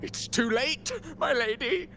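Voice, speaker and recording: Doomed soul, slow and unravelling. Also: female voice, high voice, expressive, background noise, full character voice. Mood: despairing plea. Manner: slow, pleading, dramatic. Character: doomed, despairing victim losing their mind to corruption.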